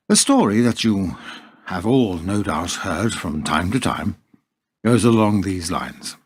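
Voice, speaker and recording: A man playing a wry, philosophical storyteller, narrating a radio play with deliberate, rhetorical phrasing. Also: medium pitch, dry recording.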